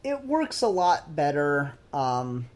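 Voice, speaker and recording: A man's voice: on the high side, sing-song, slow pace. A man talking casually as a podcast host, opinionated and emphatic, swearing freely. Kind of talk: casual pop-culture podcast discussion.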